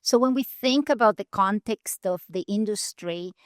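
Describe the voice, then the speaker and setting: A woman from Argentina, a nonprofit leader telling her story in an earnest, measured way with deliberate pauses. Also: medium pitch, dry recording, studio quality. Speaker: guest, nonprofit CEO. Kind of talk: storytelling interview answers. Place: conference venue.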